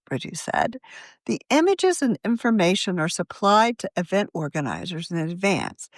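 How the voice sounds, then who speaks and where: A woman narrates a written article for a podcast in a measured, articulate reading style. Her mid-range voice moves through a lively, varied intonation. The sound is dry, with very little room in it. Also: medium pitch, no echo. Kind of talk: scripted narration.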